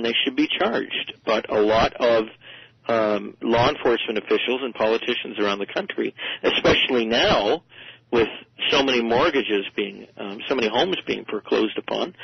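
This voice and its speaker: A man with a creaky, gravelly voice gives informal political commentary as a radio guest. He speaks haltingly and rewords himself, in a dry, muffled recording. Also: medium pitch, no echo. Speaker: interview guest, journalist and commentator.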